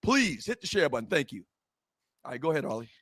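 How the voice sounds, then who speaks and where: A man's voice: a little high, big pitch swings, fast, a bit bright, dry recording, studio quality. A man co-hosting a live sports talk show, talking casually and urging viewers to subscribe and share.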